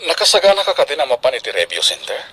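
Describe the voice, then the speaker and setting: A supportive young male student in a radio drama. His delivery is bright and conversational, attentive to whoever he is talking with. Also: medium pitch, very animated, very fast, a little hiss or hum. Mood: attentive, encouraging.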